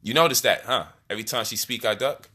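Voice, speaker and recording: A man's voice: mid-range voice, quick pace, pushed and bright. A man hosting a livestream, teasing his partner in playful banter and laughing often. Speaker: host.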